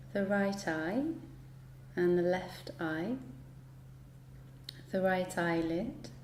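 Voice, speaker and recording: A woman guiding a relaxation meditation in a soothing, unhurried manner, leaving long pauses between instructions. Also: on the low side, mellow. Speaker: yoga nidra guide. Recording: roomy echo, light background noise.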